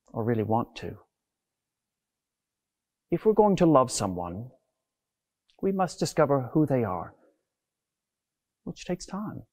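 Male preacher, middle-aged, lively intonation, scripted sermon delivery on a lapel mic. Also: on the high side. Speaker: preacher, Anglican clergyman. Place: inside a large stone church.

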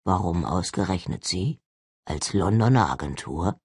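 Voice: low voice, expressive, measured pace, a little mellow, husky, no room sound, clean studio sound, pitched below the performer's own voice, full character voice. An audiobook reader voicing a stern, arrogant older male agency director, speaking in an expressionless, formal and condescending way. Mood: curt, cool. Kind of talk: audiobook character acting.

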